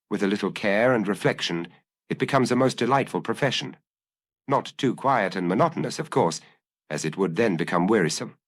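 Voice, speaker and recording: A man voicing a suave, self-assured gentleman burglar musing to himself, urbane and drily amused, in a storybook reading. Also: lowish voice. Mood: smug, amused.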